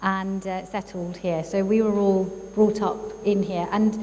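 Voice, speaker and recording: A woman's voice: mid-range voice, flat delivery, measured pace. Chatty woman in an echoing hall. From East London, England. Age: 30s. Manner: warm, chatty, frequent fillers. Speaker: guest speaker, psychologist. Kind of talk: personal talk at a community event. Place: church hall. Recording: reverberant hall, faint background noise.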